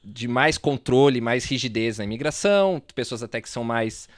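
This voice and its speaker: A man's voice: medium pitch, animated, moderate pace, no echo. Male podcast interviewer, mid-range voice, roundabout and hesitant, conversational, recorded dry. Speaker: podcast host.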